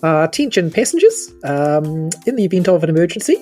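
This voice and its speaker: A woman's voice: lowish voice, very animated, brisk, mellow, faint music behind. A woman playing a joking, haphazard male captain of a flying machine, making rambling, hesitant announcements.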